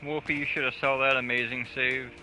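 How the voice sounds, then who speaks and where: A man's voice: mid-range voice, flat delivery, fairly quick, over music, low-quality recording. A man streaming a game live, chatting casually with crude, profane jokes. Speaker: gaming streamer.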